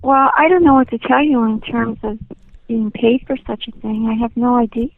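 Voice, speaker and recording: A woman's voice: a little high, normal speed, creaky. Muffled phone voice of a polite woman. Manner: polite, hesitant, puzzled. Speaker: nanny receiving a prank call. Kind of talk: phone conversation. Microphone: telephone. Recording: muffled, band-limited phone line.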